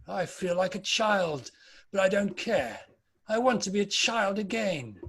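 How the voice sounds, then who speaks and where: A man's voice: high voice, lively intonation, unhurried, fairly bright tone, breathy edge, heavily acted. A British man playing an anguished, repentant old miser in a theatrical, pleading dramatic table read. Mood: joyful.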